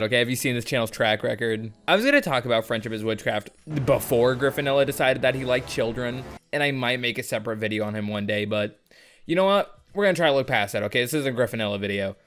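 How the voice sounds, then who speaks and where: A man talks through a video essay in a mid-pitched voice. He is casual, sardonic and sweary, with faint music underneath. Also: fast, fairly bright tone. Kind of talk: casual, jokey video commentary. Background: faint background music.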